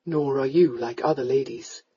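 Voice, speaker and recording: A woman plays a young aristocratic rake in a romance audiobook, pitched low and mellow. He is blunt and commanding, with a hot temper and a tender side. The recording is a little dull and muffled. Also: normal speed, husky, full character voice. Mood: soft, insistent.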